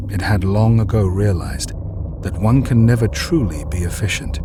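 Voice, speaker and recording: A man narrating a scripted dramatic story in a measured, solemn way. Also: fairly low pitch. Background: loud music.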